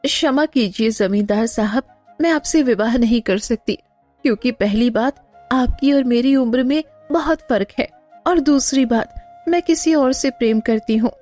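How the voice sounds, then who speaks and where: A devoted young village woman as a female performer plays her, with a high, earnest voice. She is polite yet dramatic, in cartoon storybook style. Faint music runs beneath her. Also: moderate pace, a little mellow, close to an everyday voice. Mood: politely firm. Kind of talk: Hindi cartoon moral-story acting.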